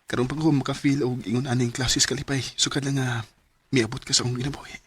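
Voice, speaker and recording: Earnest young cebuano guy in love, radio drama, husky mid voice. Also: mid-range voice, level tone, quick pace, a bit bright. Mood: loving. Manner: earnest, heartfelt. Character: devoted, earnest young son and expectant father.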